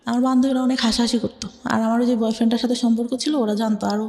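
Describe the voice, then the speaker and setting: A young woman with a Bangladeshi Bengali accent tells her life story to an interviewer, speaking softly and hesitantly with many filler words. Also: on the high side, flat delivery, very fast, big-room sound. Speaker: interview guest. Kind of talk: personal life story in conversation.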